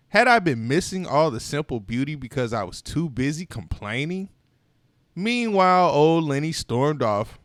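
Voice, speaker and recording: Expressive man reading a storybook aloud. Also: a little high, no echo, studio quality. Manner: expressive and playful, with voiced sighs and exclamations. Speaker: storytime reader. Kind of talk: children's read-aloud narration.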